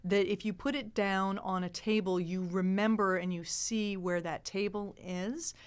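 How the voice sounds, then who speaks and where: A middle-aged woman with a smooth, clear voice, chatting casually on a panel and explaining things emphatically. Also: mid-range voice, steady tone, unhurried. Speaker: improv performer and podcast actor. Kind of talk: casual panel chat, telling anecdotes.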